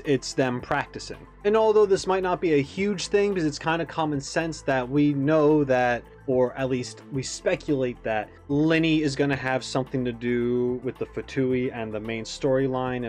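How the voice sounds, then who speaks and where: A man's voice: medium pitch, a little mellow. A man hosting a reaction video, giving casual, off-the-cuff commentary. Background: faint music.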